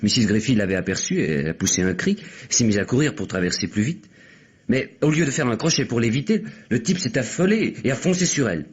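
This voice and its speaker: A man's voice: mid-range voice, animated, fast talker, husky. An actor playing a flustered, chatty male grocer in a French radio mystery, hesitant and colloquial, repeating his words as a witness. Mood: flustered, eager. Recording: dull, muffled vintage radio recording.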